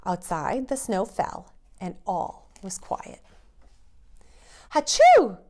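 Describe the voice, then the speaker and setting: A woman reading a storybook aloud to young children in an expressive storytelling manner. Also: mid-range voice, slow pace.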